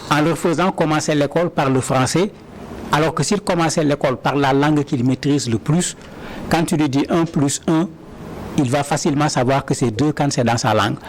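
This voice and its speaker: Earnest man, higher voice, interview guest. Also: a little fast, slight background noise, poor audio. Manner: earnest, repeats words when starting sentences. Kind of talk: interview answers.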